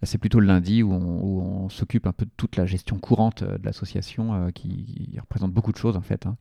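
Gravelly, hesitant man. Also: deep voice, fairly even intonation, brisk, warm tone, no room sound. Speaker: member of a community TV association. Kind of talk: radio interview.

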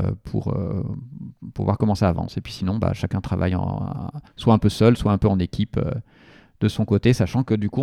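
A man's voice: fairly low pitch, gravelly, warm tone, no room sound. A man from a community TV association explaining its work in a radio interview, hesitant and full of restarts.

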